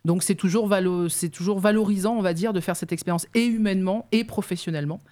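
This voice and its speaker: A woman's voice: medium pitch, steady tone, fast, dark tone. A woman, a guest on local radio, explaining her work at length with many hesitations. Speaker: youth mobility officer, guest. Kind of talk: explaining in an interview.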